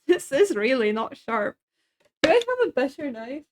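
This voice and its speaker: A young woman with a high, light voice chats with her viewers as she cooks at home. She, laughs easily, and answers chat messages as they come in. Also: very animated, normal speed, noisy background. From Sweden. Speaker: streamer. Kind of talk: casual chat while cooking on stream.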